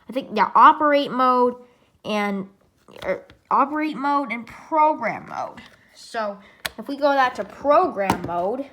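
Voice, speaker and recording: A woman giving a casual, unscripted gadget demonstration in a rambling, halting way, often correcting herself. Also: on the high side. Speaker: product reviewer. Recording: echoey room, loud background noise.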